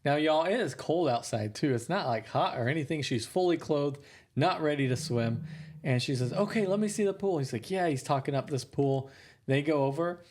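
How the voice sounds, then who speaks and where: A man's voice: on the high side, animated, moderate pace, darkish tone. A man co-hosting a parenting podcast, chatting casually and telling a teasing, easygoing family story. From American South. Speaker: podcast co-host. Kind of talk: casual chat.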